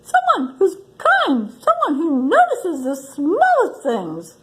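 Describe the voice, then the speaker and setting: A woman voicing an excitable storybook hyena with an eager, yelping delivery. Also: very high voice, takes it slowly, voice pushed up, full character voice, rough recording.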